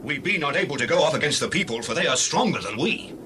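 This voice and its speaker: A highish, quick-talking Israelite scout gives an alarmed, emphatic account, acted by a man. His pitch swings widely as he discourages his people in a biblical audio drama. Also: animated, lightly acted. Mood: fearful. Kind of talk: dramatized scripture reading. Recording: loud ambient background noise.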